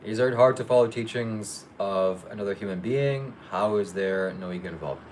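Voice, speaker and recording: A man hosting a casual live Q&A, reading viewer questions aloud and answering them briefly in a matter-of-fact, low-key way. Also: moderate pace, a little mellow, slight background noise, low-quality recording. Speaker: livestream host.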